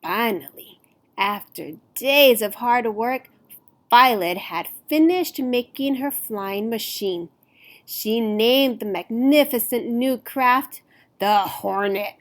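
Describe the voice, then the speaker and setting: A woman reading a picture book aloud to children in an expressive, deliberate storytelling manner. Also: a little high. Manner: deliberate, animated storytelling with emphasized words.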